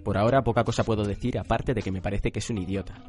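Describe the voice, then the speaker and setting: An actor playing an arrogant, calculating young killer in a radio drama, scheming and disdainful. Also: male voice, on the low side, fairly even intonation, rapid-fire, darkish tone, natural voice. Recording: echoey long reverb, faint music.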